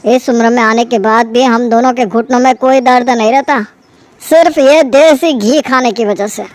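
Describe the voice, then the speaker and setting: A woman's voice: on the high side, normal speed, close to an everyday voice. A woman voicing a jovial middle-aged father who loves rich food and talks heartily. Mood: reassuring.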